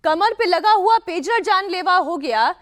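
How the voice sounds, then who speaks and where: A woman's voice: very high-pitched, expressive, unhurried. A woman news anchor narrating dramatically, with an emphatic, urgent delivery.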